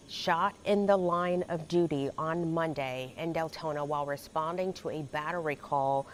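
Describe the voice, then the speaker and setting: A woman's voice: low voice, a little hiss or hum. A woman anchoring live breaking news coverage, talking conversationally with frequent fillers and restarts.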